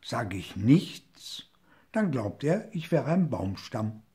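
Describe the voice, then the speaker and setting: A man voicing a sly crocodile who schemes to himself in a low, slow whisper in a storybook reading. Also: very animated, mellow. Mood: scheming.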